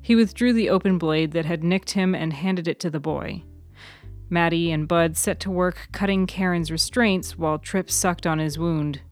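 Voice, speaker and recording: A woman's voice: lowish voice, moderate pace, a little mellow, faint music behind. A woman reading scripted fiction as the narrator of an audio story. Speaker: author and narrator.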